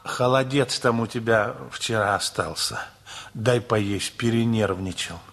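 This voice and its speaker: A man's voice: fairly low pitch, unhurried, a bit bright. An actor playing a wry, teasing, sceptical young man in a radio play. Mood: grumbling.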